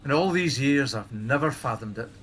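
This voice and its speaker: A man's voice: medium pitch, expressive, moderate pace, a bit bright, close to an everyday voice. Man acting a middle-aged colleague, wry, probing, mid-range voice, faint background noise, radio drama. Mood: wry, casual. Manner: dry, probing. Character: wry, inquisitive older male colleague in charge.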